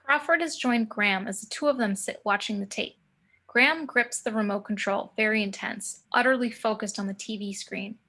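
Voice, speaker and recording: A woman's voice: mid-range voice, normal speed, sharp tone. A woman reading screenplay action descriptions as narrator of a table read over a video call. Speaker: narrator reading stage directions.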